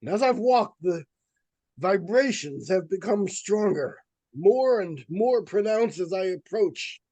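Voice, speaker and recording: A man playing a pompous, intent doctor investigating the supernatural in an old-time radio suspense play, speaking formally and deliberately. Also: high-pitched, lively intonation, warm tone, no room sound, exaggerated voice. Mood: intent, portentous.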